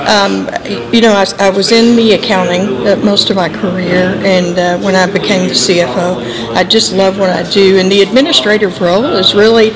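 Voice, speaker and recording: A woman's voice: medium pitch, steady tone, normal speed, poor audio. A woman in her fifties with a Texas Southern drawl, answering questions in a casual interview. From Texas, USA. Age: 50s. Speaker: interviewee, finance executive. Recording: busy crowd noise behind. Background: conference expo crowd chatter.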